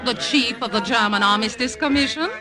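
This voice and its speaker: An actress playing a worldly, flirtatious French cabaret singer and secret agent in a radio drama, coy, poised and knowing. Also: female voice, fairly high pitch, brisk, a crowd in the background, close to an everyday voice. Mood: sly, knowing. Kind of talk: old-time radio acting. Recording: vintage radio recording with music behind.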